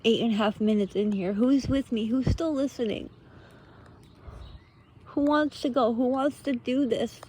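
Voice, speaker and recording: Slow-talking woman with a mellow voice. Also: fairly high pitch, husky. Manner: earnest, halting short phrases, rhetorical questions. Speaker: motivational speaker, vlogger. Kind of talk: unscripted spiritual pep talk.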